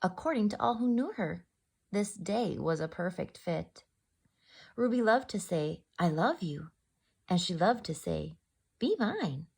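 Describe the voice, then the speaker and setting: A woman's voice: medium pitch, animated, measured pace, gravelly, a little mellow, husky. A woman storyteller reading a children's picture book aloud expressively, addressing young listeners directly. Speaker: storyteller, host.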